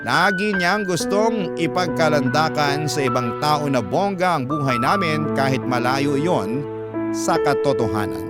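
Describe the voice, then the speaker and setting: A man reading a listener's story as a radio drama host, in measured, sentimental first-person scripted narration. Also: a little high. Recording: loud music bed behind the voice.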